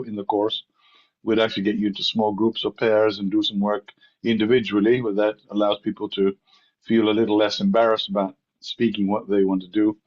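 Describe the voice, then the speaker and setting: A man runs an online class, pausing often with fillers as he invites each participant to speak. His voice sits low. It comes through muffled, like audio from a video call. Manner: hesitant, many fillers. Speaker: course instructor. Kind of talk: leading an online class discussion.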